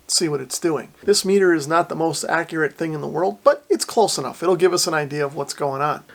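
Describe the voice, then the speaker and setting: A man with a slightly high voice and a marked creak walks through a product demo in a casual, methodical way. He explains each step in order, recorded very dry with no room sound. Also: dry recording. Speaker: host of a radio review and demo video. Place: indoor radio shack.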